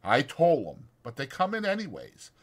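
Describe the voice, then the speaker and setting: A man's voice: mid-range voice, huge pitch swings, moderate pace, voice pushed up. A man voicing a withdrawn, bitter stable hand, subdued and guarded, in a dramatized audiobook. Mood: resigned. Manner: subdued, dull, guarded, rural dialect.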